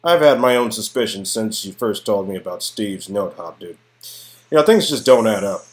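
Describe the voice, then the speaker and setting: A man's voice: lowish voice, animated, normal speed, close to an everyday voice. A man playing skeptical, sardonic friends in an amateur reading of game dialogue, exclaiming and sometimes hesitant. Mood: suspicious.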